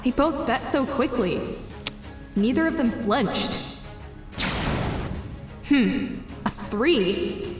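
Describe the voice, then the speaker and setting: A woman playing a competitive, anxious teenage girl who muses over a card game in a comic dub. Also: sits high. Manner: deliberate, musing to self. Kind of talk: webcomic fan dub acting with inner monologue. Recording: roomy voice with music behind.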